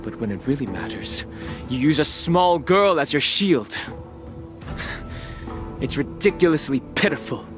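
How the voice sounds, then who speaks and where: An actor playing a stern, righteous spear-wielding wanderer in a video game, with a grave, formal, measured delivery. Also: male voice, a little high, sing-song, fairly bright tone. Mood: scornful. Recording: game music behind the voice.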